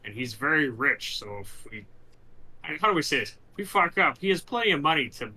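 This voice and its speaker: A man's voice: on the high side, sing-song, fairly quick, pushed and bright, rough recording. A man talking casually as a player in a tabletop role-playing game, often repeating himself as he goes.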